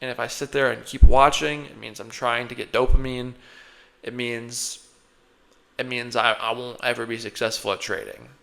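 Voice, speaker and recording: A man's voice: mid-range voice, steady tone, normal speed, vocal fry, pushed and bright, rough recording. A man with a slight cold, sniffling as he rambles through a self-critical monologue close to his microphone. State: a little sick, sniffling. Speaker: day trader reflecting on his own trading. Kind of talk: casual self-reflective monologue.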